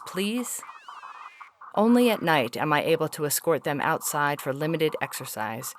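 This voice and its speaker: A low female voice from an actress as an alien officer filing field reports in an audio story. The delivery is stiff, clinical and precise, faintly weary and homesick. There is slight noise behind the recording. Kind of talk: scripted audio fiction reading.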